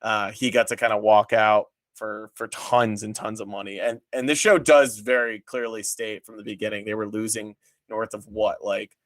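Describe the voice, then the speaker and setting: A man's voice: fairly low pitch, little pitch movement, normal speed, dry recording. Casual man chatting, close dry sound. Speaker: podcast host reviewing a TV show. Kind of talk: casual podcast chat.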